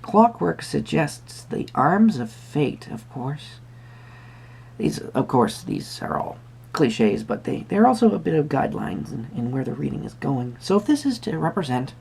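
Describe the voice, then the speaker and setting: A performer playing a whimsical magical tarot reader, musing hesitantly in a soft-spoken roleplay with a creaky voice. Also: mid-range voice, heavily acted. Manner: hesitant, musing, pausing with hums.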